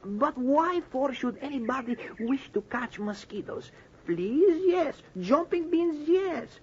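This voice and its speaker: A woman's voice: high-pitched, lively intonation, takes it slowly. A woman playing a naive, puzzled young Mexican boy with an accent and broken English, in 1940s radio drama style. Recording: muffled vintage 1940s radio, faint noise.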